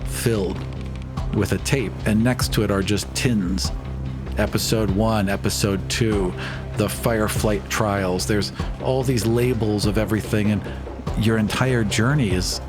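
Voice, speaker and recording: A man's voice: on the low side, steady tone, unhurried, music in the background. A man narrating a tabletop role-playing game as game master.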